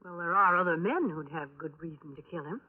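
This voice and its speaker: A woman's voice: on the low side, a little fast, lightly acted. Blunt, bitter widow on old radio, played by a woman. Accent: American. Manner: blunt, controlled, dramatic delivery. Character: bitter, bluntly honest widow in her early thirties. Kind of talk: 1950s radio detective drama acting. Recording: tinny vintage 1950s radio broadcast.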